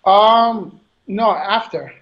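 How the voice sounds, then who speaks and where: A man in his thirties from Haiti answering interview questions in a soft, hesitant way, often repeating words. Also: high voice, very slow, lo-fi sound. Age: 30s. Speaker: guest bodybuilder.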